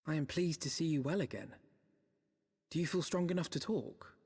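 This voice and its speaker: A man's voice: fairly high pitch, normal speed, a little mellow, slightly rough. A man playing an earnest, courteous, well-bred young scholar in a video game. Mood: earnest concern. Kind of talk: video game cutscene acting. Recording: long hall-like reverb.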